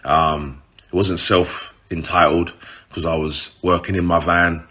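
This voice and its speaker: A man's voice: sits low, steady tone, unhurried, sharp tone. A man with a British urban accent telling a story casually to his followers, informal, slangy and sweary.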